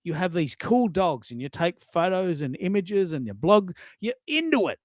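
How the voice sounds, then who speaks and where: A man's voice: high voice, big pitch swings, moderate pace, darkish tone, no room sound, studio quality. A man hosting a casual live audio discussion, talking in an effusive, storytelling way with emphatic asides. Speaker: host of a live social audio show.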